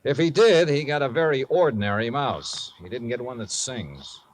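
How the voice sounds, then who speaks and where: A man's voice: medium pitch, expressive, normal speed, close to an everyday voice. An actor playing a shrewd, wry male investigator in a 1950s radio drama, with a dry, clipped delivery. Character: wry, shrewd male investigator narrating his own case. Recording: 1950s radio recording with music.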